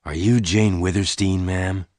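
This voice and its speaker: A man voicing a big, calm stranger in a western story, quiet, polite and quietly dangerous. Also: deep voice, unhurried, dry recording, clean studio sound.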